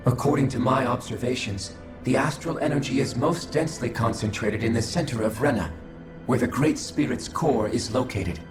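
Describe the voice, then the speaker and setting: A man voicing a detached alien observer in a video game, formal, measured and emotionless. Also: medium pitch, natural voice. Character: cold, detached alien observer of a fallen species. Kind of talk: scripted video game voice acting. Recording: very roomy echo, music behind.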